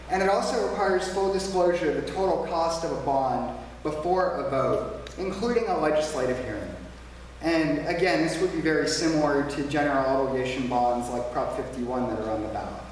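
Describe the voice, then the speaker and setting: Man, debate speaker, projects a big voice, fairly high-pitched, echoey hall, heard from far off. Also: slight background noise. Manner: emphatic, plain-spoken, repeats slogans. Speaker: debate speaker for a taxpayers' group. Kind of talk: public debate speech. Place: small church meeting room.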